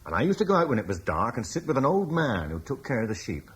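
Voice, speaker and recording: An actor playing a blunt, forceful military commander from Cornwall who scorns Rome, in a classic radio drama. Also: male voice, mid-range voice, wildly swooping pitch, fast, breathy edge. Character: blunt British war leader, scornful of Rome.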